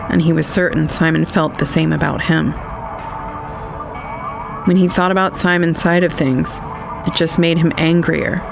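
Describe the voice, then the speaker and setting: A man reading scripted literary narration for an audio drama in a measured storytelling manner. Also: on the high side, vocal fry, low-quality recording. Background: music.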